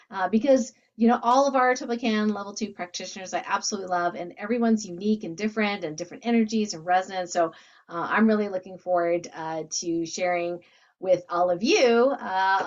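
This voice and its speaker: A woman hosting an interview, speaking enthusiastically and filling pauses with uhs. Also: mid-range voice, lo-fi sound. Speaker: host, academy founder.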